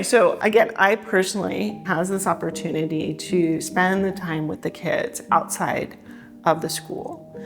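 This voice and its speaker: A woman from California, a teacher, talking in a chatty, relaxed, upbeat way in an interview. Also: medium pitch. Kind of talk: casual interview talk. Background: soft guitar music.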